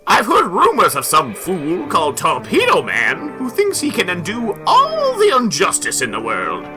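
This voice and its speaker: A man's voice: high voice, huge pitch swings, moderate pace, over music. A man voicing a bossy comic-book villain boss who gives melodramatic orders to henchmen. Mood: sinister, musing. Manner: melodramatic, commanding. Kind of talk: comic-book dramatic reading.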